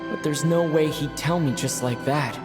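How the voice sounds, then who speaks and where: A steady young traveler speaks in a gentle, mid-pitched voice, acted for a game. The delivery is calm and thoughtful. Game music plays clearly behind. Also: normal speed, natural voice. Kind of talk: video game voice acting.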